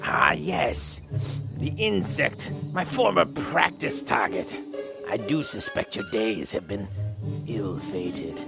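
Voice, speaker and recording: A man voicing a proud, angry robot raptor warrior in a radio drama, with a gravelly growl and formal, menacing delivery. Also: fairly low pitch, big pitch swings, slow pace, over music, exaggerated voice. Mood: scornful. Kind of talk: radio drama cartoon acting.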